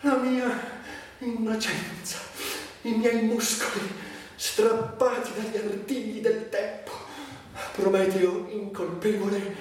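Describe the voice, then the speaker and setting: An actor playing a bitter, sardonic, tormented man confined by illness in a theatrical monologue, volatile between muttering and declaiming. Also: high-pitched. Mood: anguished. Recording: very echoey, distant, faint noise.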